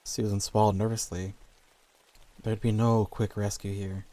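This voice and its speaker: A man's voice: lowish voice, little pitch movement, measured pace, dark tone. A man reading scripted horror stories as the narrator.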